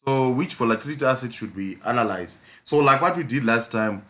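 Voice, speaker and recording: A man with a hoarse voice from a flu, hosting a casual live trading stream with a South African accent, rambling with lots of fillers and repeated pleas. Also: medium pitch, brisk, bright, forceful tone. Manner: repetitive pleading, frequent fillers.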